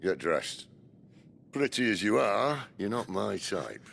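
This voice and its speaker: A gruff older outlaw boss from a game, real low and gravelly, dry humour, noisy behind. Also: male voice, sing-song, fairly bright tone, full character voice. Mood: teasing, calm. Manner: wry, unhurried. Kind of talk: video game cutscene acting.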